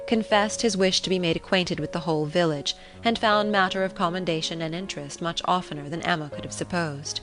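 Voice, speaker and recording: A woman reading a classic novel as an audiobook narrator, in a measured, formal manner. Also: lowish voice, a bit bright. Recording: relaxing music behind the voice.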